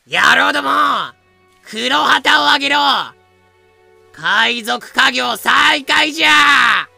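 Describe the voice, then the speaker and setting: A man playing an easygoing, roguish pirate captain with a gravelly, drawling voice in an amateur audio drama. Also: very high-pitched, takes it slowly, sharp tone, dry recording, soft background music, full character voice. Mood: roaring. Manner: drawling, casual, rough-spoken.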